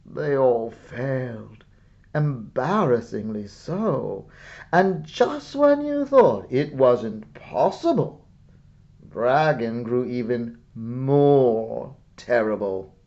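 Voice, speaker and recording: A man reading a children's picture book aloud in a theatrical, expressive way with dramatic pauses. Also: mid-range voice, highs cut off. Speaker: storybook reader.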